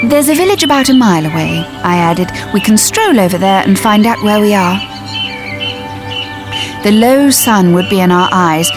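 A woman's voice: mid-range voice, close to an everyday voice. Actress as prim servicewoman narrating over music. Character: prim, brisk navy servicewoman, a time traveller's companion. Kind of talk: first-person audiobook drama narration. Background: clear music and birdsong.

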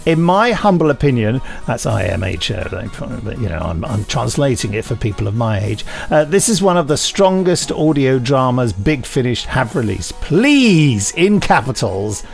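A man's voice: medium pitch, big pitch swings, normal speed, vocal fry, few pauses, faint music behind. A middle-aged man co-hosting a podcast with casual, bantering talk. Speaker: podcast co-host.

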